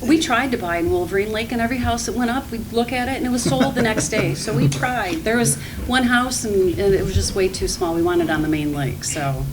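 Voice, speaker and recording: A woman's voice: medium pitch, brisk, few pauses, a crowd in the background. Earnest woman, distant mic. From mid-Michigan. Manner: earnest, rambling with restarts. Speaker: job candidate. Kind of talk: interview answers. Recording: distant mic, clear background noise.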